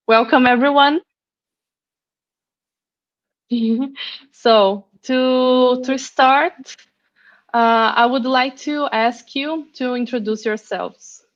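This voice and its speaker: A woman's voice: high voice, steady tone, extremely slow, long pauses, highs cut off. A woman moderating an online panel over headphones, introducing guests carefully, with small laughs. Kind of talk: hosting a video panel.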